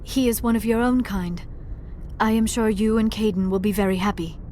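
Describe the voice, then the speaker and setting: Actress as a gentle young alien scientist lady, very proper and earnest, game dialogue, faint noise behind. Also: mid-range voice, fairly even intonation, normal speed, natural voice. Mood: regretful. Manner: formal, precise speech without contractions. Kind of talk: video game dialogue acting.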